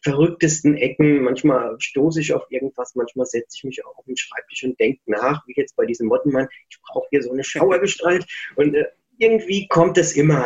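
A man from Germany, an author, answering interview questions over the phone in a relaxed, chatty way with many fillers. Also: fairly high pitch. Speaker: book author. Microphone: phone line. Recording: muffled phone-call sound.

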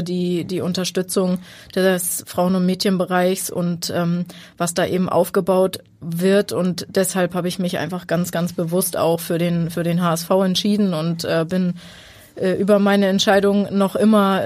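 A woman football player answering interview questions, measured and hesitant with fillers. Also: lowish voice, a little mellow, dry recording. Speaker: women's football player, guest.

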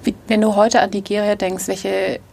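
A woman interviewing, asking gentle, probing questions. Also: mid-range voice, level tone, moderate pace, creaky, slight background noise.

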